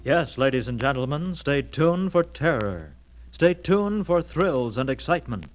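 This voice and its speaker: A man's voice: fairly high pitch, big pitch swings, unhurried, soft background music. A man announcing a radio programme with emphatic, theatrical 1940s showmanship. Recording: muffled vintage radio recording.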